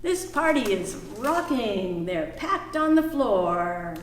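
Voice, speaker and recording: A woman reading a picture book aloud to small children at a library storytime, singing the refrains. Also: mid-range voice. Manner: slow, singsong, animated for small children. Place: library room. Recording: echoey room, faint background noise.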